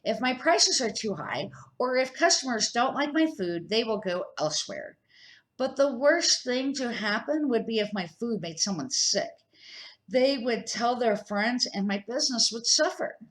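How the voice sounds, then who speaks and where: A woman's voice: a little high, animated, a little slow, voice pushed up. An earnest, principled man who runs a food truck, voiced by an older woman reading a storybook. He explains things emphatically and stands firmly by his views. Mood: earnest, emphatic.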